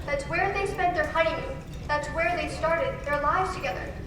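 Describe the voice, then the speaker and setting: A woman's voice: high voice, normal speed, background noise, lightly acted. A young woman acting as the storytelling heavenly narrator of an old-fashioned radio play, reading scripted narration. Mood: solemn, storytelling. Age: young adult. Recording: echoey live stage, distant mic, music behind.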